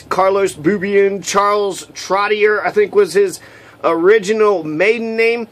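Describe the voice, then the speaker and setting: Brief: high-pitched male lecturer, loose and opinionated, swears freely. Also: fairly bright tone. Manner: rambling, opinionated, profane asides. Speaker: history lecturer. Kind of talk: unscripted history talk.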